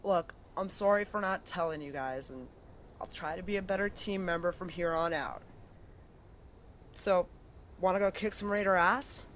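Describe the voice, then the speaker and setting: A woman's voice: on the low side, moderate pace, a little hiss or hum, natural voice. A woman playing a brash, tough wasteland wanderer who talks bluntly and curses. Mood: friendly. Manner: blunt, cursing, sharp-tongued.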